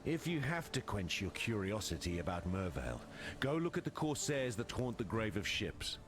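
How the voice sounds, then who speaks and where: A wry guard in a dark fantasy game. The voice sits low and moves expressively, blunt and sardonic in its delivery, with music underneath. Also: male voice, sing-song, normal speed. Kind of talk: video game character dialogue. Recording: music behind the voice.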